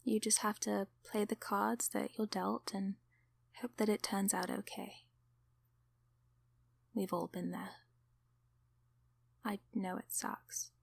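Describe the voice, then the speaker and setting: A woman voicing a kindly sleep paralysis demon in an ASMR roleplay, encouraging and affectionate in a soft, soothing, often whispered delivery. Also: mid-range voice, little pitch movement, takes it slowly, gravelly, pauses often, husky, heavily acted. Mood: soothing, reassuring.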